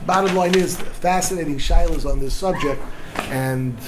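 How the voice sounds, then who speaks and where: Slightly high-voiced rabbi teaching a class. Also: male voice, moderate pace, background noise.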